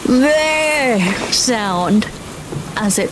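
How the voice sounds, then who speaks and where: A woman's voice: a little high, lively intonation, drawn-out words, heavy background noise. A woman acting as game master, improvising descriptive scene-setting narration for a tabletop role-playing game.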